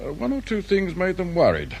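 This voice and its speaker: An actor playing a calm, methodical British detective inspector in a radio drama, measured and politely persistent. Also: male voice, high-pitched, gravelly, slightly rough, exaggerated voice. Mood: composed, official.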